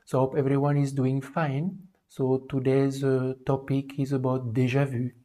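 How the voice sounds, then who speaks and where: A man's voice: medium pitch, flat delivery, moderate pace, warm tone. A man in his forties from France with a French accent, hosting a spiritual livestream in hesitant talk full of fillers. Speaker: livestream host.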